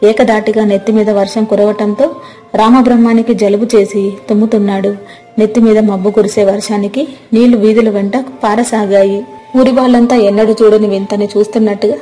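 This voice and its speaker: Mellow woman storyteller. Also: medium pitch, fairly even intonation, a little fast. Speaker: story narrator. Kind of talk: scripted moral-story narration. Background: faint music.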